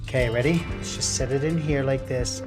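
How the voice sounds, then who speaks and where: A woman with a low voice guides a helper through a science demonstration. She coaches in an encouraging, playful tone. Clear music plays underneath the clip. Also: fairly quick.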